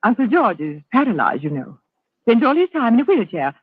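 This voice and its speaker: Casting: brisk, mockingly polite young lady, slightly husky, vintage radio play, dull dry recording. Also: fairly high pitch, sing-song, no room sound. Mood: cool. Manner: brisk, poised, coolly polite. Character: cool, guarded young niece, mockingly polite. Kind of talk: 1940s radio drama acting. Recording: muffled old radio broadcast recording.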